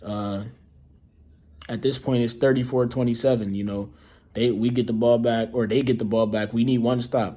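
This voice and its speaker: A man's voice: fairly low pitch, mellow. A tired young man who is losing his voice gives a rambling, casual recap of a football game he watched, filling his talk with "you know". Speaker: sports fan vlogger.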